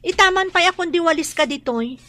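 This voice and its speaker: An actress with a Filipino accent playing a gossipy, sharp-tongued woman neighbour in a radio drama. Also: very high-pitched, animated, fairly quick, heavily acted. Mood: taunting, scornful.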